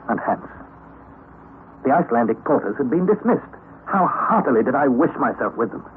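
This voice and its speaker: A man's voice: medium pitch, lightly acted. An actor playing an anxious young male nephew who narrates an old radio drama in earnest first person. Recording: tinny lo-fi old radio recording with faint hiss.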